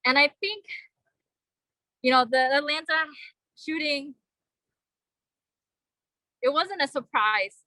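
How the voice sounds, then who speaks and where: Bright, earnest young woman at a vigil. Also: high voice, expressive, no echo, low-quality recording. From Vietnam. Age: young adult. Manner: earnest, halting, many fillers. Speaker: vigil speaker. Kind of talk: personal speech at a vigil.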